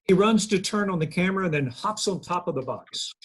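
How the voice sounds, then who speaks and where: Man reading scene directions, husky, slightly high, video call. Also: a little fast. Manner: plain, steady read of scene directions. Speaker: stage directions reader. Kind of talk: reading stage directions at a table read.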